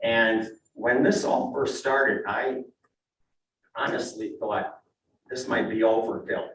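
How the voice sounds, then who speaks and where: A man's voice: medium pitch, steady tone, slow, a bit bright, a little hiss or hum. An older man, a real estate broker, giving plain, conversational testimony at a zoning meeting. He is losing his voice. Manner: plain, conversational, with frequent "uh" and restarts. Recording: echoey council chamber, mic at a distance.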